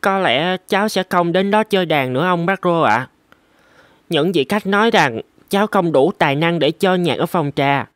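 A man's voice: fairly high pitch, normal speed, a bit bright, no room sound, clean studio sound, natural voice. A man voicing a humble young violinist in a cartoon, with a polite and earnest manner. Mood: discouraged. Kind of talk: animated cartoon dialogue.

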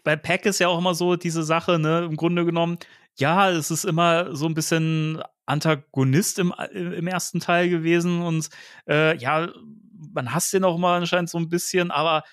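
A man with a voice a little higher than most hosts a relaxed fan podcast. He talks casually and haltingly, often searching for the right word. The sound is very dry, with no room to it. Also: dry recording. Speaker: podcast host.

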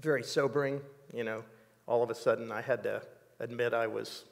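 A man's voice: medium pitch, fairly even intonation, gravelly. Reflective older man, studio reverb. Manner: measured, reflective storytelling with many fillers. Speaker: interview guest. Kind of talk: personal testimony in an interview. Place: dark studio set.

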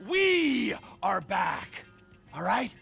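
Gravelly, volatile career criminal. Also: male voice, high voice, wildly swooping pitch, drawn-out words, bright, forceful tone, faint music behind, heavily acted. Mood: manic, rousing. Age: middle-aged. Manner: ranting, profane, intense. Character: volatile, menacing middle-aged male career criminal, wounded and bitter. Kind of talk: dramatic video game cutscene acting.

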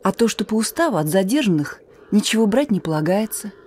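An actress playing a plucky young partisan courier in a radio drama, speaking in a familiar, teasing, warm-hearted way. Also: female voice, medium pitch, sing-song, a little fast, breathy edge. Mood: exasperated, teasing. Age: young adult.

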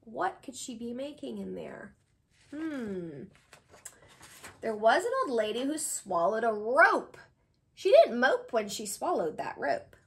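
High, lively woman reading to children. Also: vocal fry. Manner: expressive, sing-song, with drawn-out pauses for young listeners. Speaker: read-aloud host, tutor. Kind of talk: children's picture book read-aloud. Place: small indoor room with bookshelves.

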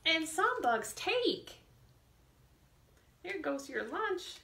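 A woman leading a storytime for young children, reading a picture book expressively and deliberately, drawing out words and asking the children questions. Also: very high voice, very animated, gravelly, bright, forceful tone, a little hiss or hum. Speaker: children's librarian hosting storytime. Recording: echoey room sound.

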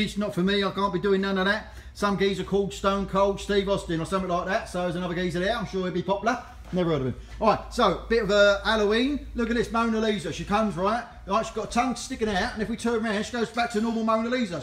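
A man with a Cockney accent giving a jokey, rambling walk-round of items before an auction, filmed on a handheld phone in a large indoor warehouse. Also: sits high. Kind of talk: casual walk-through commentary. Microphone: handheld phone camera, speaker off-screen. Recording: echoey warehouse room.

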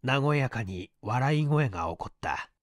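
A man's voice: medium pitch, expressive, measured pace, no room sound, studio quality. A man narrating mystery fiction from a script as an audiobook reader, in a dramatic manner.

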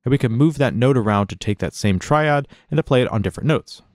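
A man narrating a casual step-by-step software tutorial in an informal, explanatory way. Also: mid-range voice, expressive, a little fast, loud music behind the voice.

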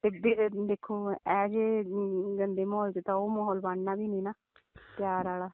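A woman talks in a medium-pitched voice, polite and deferential. She is having a casual, intimate chat, heard dry through a narrow phone line. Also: little pitch movement, moderate pace, no room sound. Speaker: phone call partner. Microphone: phone call.

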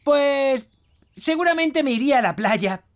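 Woman voicing a highish, lively cartoon construction vehicle. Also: measured pace, a little hiss or hum. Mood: envious, wistful. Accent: Castilian Spanish. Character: friendly, wistful talking construction vehicle. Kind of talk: children's cartoon dub.

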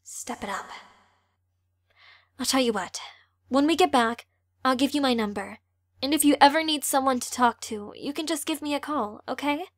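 A woman's voice: high-pitched, moderate pace, long pauses, no room sound, clean studio sound, close to an everyday voice. A woman playing a caring, feisty teenage girl in an audio drama, with a youthful voice. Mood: gentle.

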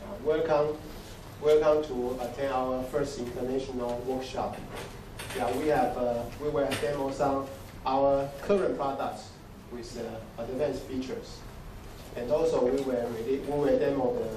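Halting man on a handheld mic in an echoey room. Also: mid-range voice, fairly even intonation. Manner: gestures while speaking, halting and repetitive. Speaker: company executive opening a training workshop. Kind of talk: hesitant spoken welcome with fillers. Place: large conference room with projector screens. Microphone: handheld microphone, recorded by a far camera. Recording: echoey room from far off, noisy.

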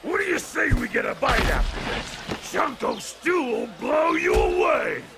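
High, booming jovial fighter. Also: male voice, big pitch swings, a little slow, gravelly, over music, full character voice. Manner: hearty, shouting. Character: jovial, loud, food-loving fighter. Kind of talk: video game character acting.

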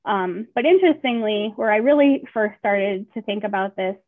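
A woman's voice: medium pitch, normal speed. A woman postdoc speaking on a panel video call in an earnest, explanatory way. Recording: phone-like video call audio.

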